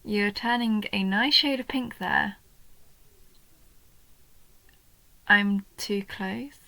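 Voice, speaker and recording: A woman voicing a young female goblin in a roleplay audio, a nerdy, sweet and curious bookworm who talks earnestly and eagerly, sometimes softly breathy. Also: medium pitch, takes it slowly, no room sound. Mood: excited, apologetic. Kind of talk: roleplay audio, intimate one-sided scripted dialogue.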